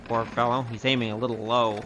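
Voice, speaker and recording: A man's voice: mid-range voice, level tone, a little fast, a bit bright, lo-fi sound, a little hiss or hum, close to an everyday voice. A male actor playing a roguish, wry storyteller with a grandiose, theatrical manner in a comic audio drama. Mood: sardonic. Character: roguish, boastful storyteller, wry poor adventurer. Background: music.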